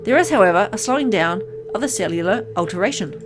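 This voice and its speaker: A woman reading aloud as a kind, caring male doctor in a game, speaking gently and earnestly. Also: mid-range voice, expressive, fast talker, music in the background, natural voice. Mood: reassuring.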